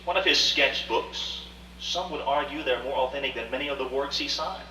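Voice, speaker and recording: A man's voice: medium pitch, moderate pace, pushed and bright. A man in a radio play rehearsal, playing a proud, cultured older art collector with formal, theatrical, articulate speech. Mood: refined pride. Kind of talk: live radio play acting. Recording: roomy, distant, faint hum, old rehearsal tape.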